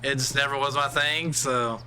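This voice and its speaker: A man's voice: medium pitch, steady tone, normal speed, noisy background. A California-born man hosting a casual stream, rambling through family stories with many fillers. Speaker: host. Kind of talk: casual storytelling on a livestream.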